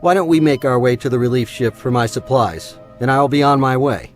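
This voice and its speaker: A man playing a wealthy, self-important older schemer in an audio drama, pompous and smooth-talking. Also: mid-range voice, fairly quick, soft background music, lightly acted. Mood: pompous, pressuring.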